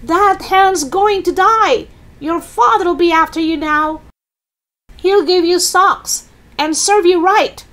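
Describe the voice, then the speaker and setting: Storybook grandma: elderly, very high-pitched, scolding, spiteful and full of herself, shouting her lines. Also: takes it slowly, heavily acted. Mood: scolding, alarmed. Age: older. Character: shrill, spiteful, boastful old grandma shouting from a rooftop. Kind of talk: children's storybook character voice.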